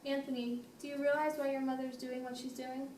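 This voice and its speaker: A woman playing a patient, firm family therapist, speaking in a measured, formal way in an amateur role-play. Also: high-pitched, flat delivery, darkish tone, lightly acted. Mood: firm, reasoning. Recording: noisy echoey room, distant mic.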